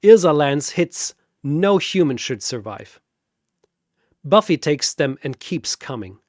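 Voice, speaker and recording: A man's voice: fairly high pitch, sing-song, takes it slowly, a little mellow, dry recording, clean studio sound. A man narrating a scripted fantasy battle story in a dramatic storytelling style.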